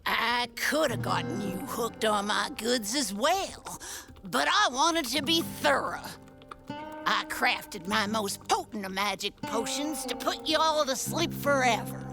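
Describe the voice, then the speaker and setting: A woman's voice: on the high side, expressive, measured pace, vocal fry. A woman playing a scheming, gloating djinn baker in an audio adventure, with a taunting, theatrical menace. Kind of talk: villain monologue in audio adventure. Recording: music under the voice.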